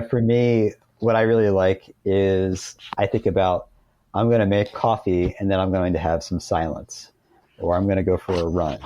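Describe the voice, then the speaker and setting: An American man speaking as an interview guest, explaining things conversationally in a thoughtful, halting way. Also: low-pitched, mellow, muffled recording.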